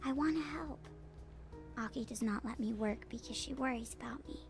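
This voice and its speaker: Game role, actress: quiet earnest young woman, stilted careful speech, higher register with fry, clear music underneath. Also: moderate pace, lightly acted. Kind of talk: video game voice acting.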